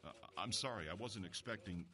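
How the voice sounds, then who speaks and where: A man playing an earnest, inquisitive investigator in an audio drama, telling a mystery in a measured storytelling way. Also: lowish voice, sharp tone. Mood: earnest, probing. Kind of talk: audio drama acting with first-person narration.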